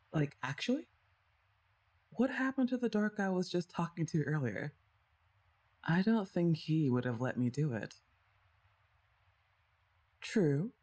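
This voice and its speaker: Unhurried, breathy young woman; a woman voicing a playful older sister who teases softly in an ASMR roleplay. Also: fairly low pitch, exaggerated voice. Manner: playful, teasing, soft-spoken.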